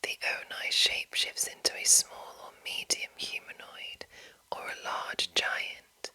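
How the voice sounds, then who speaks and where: Slow, whispering woman, breathy ASMR. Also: bright, forceful tone. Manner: slow, deliberate, pausing between short phrases. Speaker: host reading a game rulebook. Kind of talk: whispered ASMR reading and commentary.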